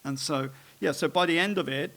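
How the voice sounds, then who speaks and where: A man, an artist, talking informally about his own work, halting often with fillers and restarts as he rambles through it. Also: fairly high pitch, a bit bright.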